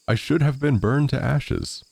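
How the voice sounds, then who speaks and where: A man's voice: medium pitch, unhurried, vocal fry, darkish tone, husky, no echo, pitched above the performer's own voice. A man voicing an ardent female lump of hot coal in a storybook, with formal, old-fashioned speech. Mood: relieved, dramatic.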